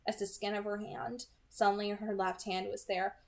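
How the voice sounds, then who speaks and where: Female amateur narrator, medium pitch, noticeable vocal fry, scripted fiction read-aloud. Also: little pitch movement, moderate pace. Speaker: amateur audiobook reader.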